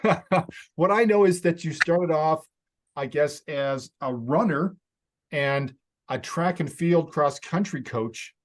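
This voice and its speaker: A man's voice: on the high side, slow, background noise. A man with a Canadian accent hosting a podcast interview, chatty and laughing easily. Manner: friendly, chatty, laughs often. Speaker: podcast host. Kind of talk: casual interview chat.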